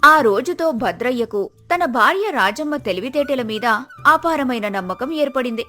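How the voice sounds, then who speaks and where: A woman narrates a moral tale from a script. Her voice sits a little high, and music plays underneath. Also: lively intonation, normal speed, sharp tone. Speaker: story narrator.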